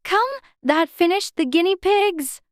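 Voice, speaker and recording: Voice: extremely high pitch, a little slow, background noise, voice pushed up. A narrator voicing a curious, prim little girl in a storybook reading.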